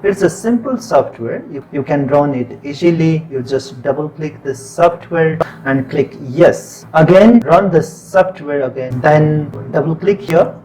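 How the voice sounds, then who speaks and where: A man with a Nepali accent walks the listener through a computer tutorial one step at a time, calm and unhurried. His voice sits a little high for a man. A faint hiss and room sound run under the recording. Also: low-quality recording. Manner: plain step-by-step instructions, unhurried. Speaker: tutorial presenter. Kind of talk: screen-recorded software tutorial.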